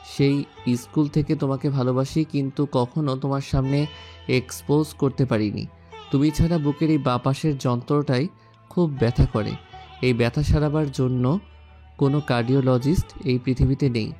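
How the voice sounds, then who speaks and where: Guy acting intense lovesick husband in a romantic audio drama, medium pitch, slow dramatic phrasing, soft music under it. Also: close to an everyday voice. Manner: slow, dramatic, earnest phrasing with English mixed in. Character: intense, lovelorn husband and father, a powerful mayor. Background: background music.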